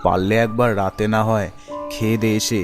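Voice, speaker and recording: Low-pitched middle-aged village shopkeeper played by a male narrator, kind, worried, slow musing delivery, gentle, animated story. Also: music in the background, natural voice.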